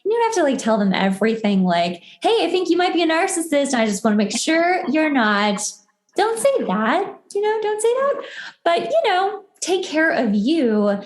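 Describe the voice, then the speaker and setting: Female podcast host, fairly high voice, echoey room, informal and chatty. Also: very animated, normal speed. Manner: informal, heavy filler "like", self-quoting asides. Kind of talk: casual conversational podcast talk. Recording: roomy, echoey sound.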